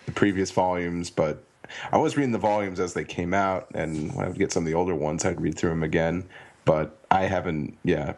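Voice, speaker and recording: Podcast co-host, male, very low voice, halting and rambling. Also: a little mellow. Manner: rambling, halting with many ums. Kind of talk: casual chat.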